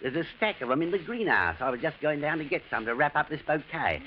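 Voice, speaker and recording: An actor playing a fussy, deferential English gardener with a working-class accent who stammers and grumbles, in 1940s radio drama style. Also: male voice, fairly high pitch, animated, quick pace, natural voice. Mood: deferential, puzzled. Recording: muffled, noisy vintage radio drama recording.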